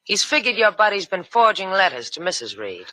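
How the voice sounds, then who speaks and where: Cool, shrewd, bright-voiced woman. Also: medium pitch, sing-song, brisk, no echo. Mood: pointed, accusing. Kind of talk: old-time radio crime drama acting.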